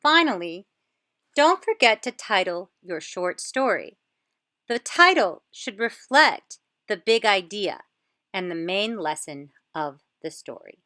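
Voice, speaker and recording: A woman's voice: mid-range voice, animated, slow, pushed and bright, no echo, studio quality. A woman teacher presenting an educational lesson for children.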